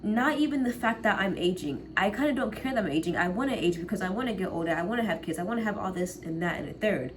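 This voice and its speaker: A woman's voice: medium pitch, animated, quick pace, hardly any pauses. A woman in her late teens chatting casually to the camera in a rambling way, often laughing and trailing off. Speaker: college vlogger. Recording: noticeable background noise.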